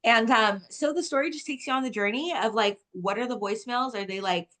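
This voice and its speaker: A woman's voice: a little high, fairly quick. A woman, chatting casually as an author guest in an interview and telling things with animation, often saying "like". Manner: animated storytelling, heavy use of "like".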